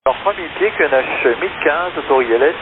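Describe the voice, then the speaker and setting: A man's voice: on the high side, expressive, quick pace. A man speaking as an airline pilot over aviation radio, giving courteous procedural readbacks. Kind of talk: air traffic radio communication. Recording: hissy narrowband aviation radio, heavy static.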